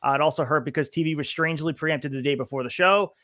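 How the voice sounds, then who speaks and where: Slightly high-voiced upbeat podcast man. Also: fast talker, fairly bright tone, dry recording, studio quality. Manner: upbeat, asks questions, reads notes aloud. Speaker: podcast host. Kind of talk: casual chat, reading from old newsletters.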